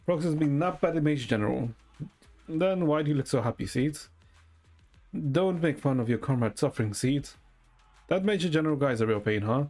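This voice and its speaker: A man's voice: fairly high pitch, expressive, a little fast, a little mellow. A man giving casual gameplay commentary and reading story dialogue aloud, with musing, muttered asides. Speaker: game streamer. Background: video game music.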